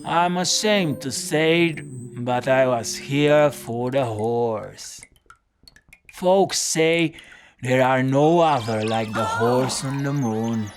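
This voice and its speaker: An actor playing a wry, weary folk-hero detective in an audio drama, speaking in a measured, deliberate way. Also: male voice, medium pitch. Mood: feigned humility. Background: music.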